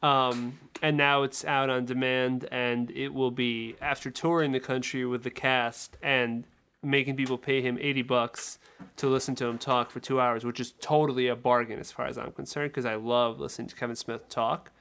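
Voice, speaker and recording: Podcast guy, bright punchy voice, casual takes on movies. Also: medium pitch, level tone, moderate pace, clean tone. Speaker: podcast co-host. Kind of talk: casual film and TV commentary.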